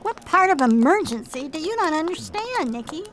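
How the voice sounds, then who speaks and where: A woman's voice: sits high, very animated, normal speed, noisy background. A woman playing an eager, friendly young female investigator in an audio drama, perky and emphatic. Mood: urgent.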